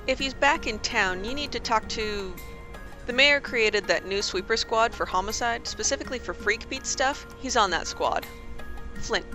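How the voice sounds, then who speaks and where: An actress playing a woman who chattily spins the legend of a vigilante. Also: medium pitch. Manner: chatty, storytelling. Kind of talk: amateur motion comic voice acting.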